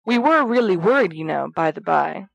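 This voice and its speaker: Woman playing a brash, excitable teenage schoolboy. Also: on the low side, normal speed, gravelly, dry recording, dull audio, exaggerated voice. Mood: teasing. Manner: teasing, exclamatory, bossy. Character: brash, excitable, pleading fourteen-year-old schoolboy. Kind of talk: amateur audio fan fiction reading.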